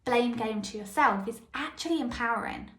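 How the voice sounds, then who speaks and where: Woman podcast coach, high bright voice, talks straight to the listener, echoey room. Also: normal speed. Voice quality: high, light voice that others called squeaky. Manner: personal anecdotes, frequent checks with the listener. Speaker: podcast host and self-help coach. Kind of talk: solo motivational podcast monologue.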